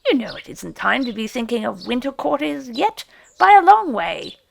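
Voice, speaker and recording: A woman's voice: fairly high pitch, big pitch swings, normal speed, gravelly, no echo, exaggerated voice. A woman voicing a grumpy, severe water rat who likes to stay at home, in an audiobook. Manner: severe, grumbling. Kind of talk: audiobook character voice.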